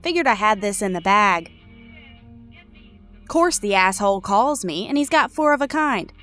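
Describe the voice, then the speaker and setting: A woman's voice: medium pitch, lively intonation, moderate pace, fairly bright tone, lightly acted. An actress voicing a broke, cheeky young woman gambler in a game, chirpy and self-mocking. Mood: eager. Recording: game audio with faint music.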